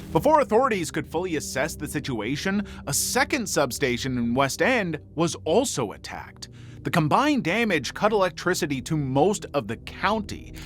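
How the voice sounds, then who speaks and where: A man reading scripted true crime documentary narration in a measured, serious storytelling manner. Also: a little high, a bit bright. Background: background music.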